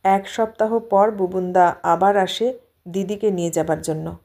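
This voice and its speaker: Expressive woman narrating a story. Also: mid-range voice, a little slow. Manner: expressive, emotive reading. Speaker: audiobook narrator. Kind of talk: dramatic literary story narration.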